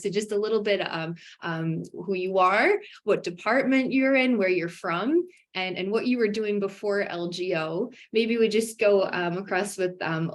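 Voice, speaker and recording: Upbeat woman presenter leading an online info session, loose and conversational. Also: mid-range voice. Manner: upbeat, many fillers, bright emphatic rises. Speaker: webinar host for a graduate program.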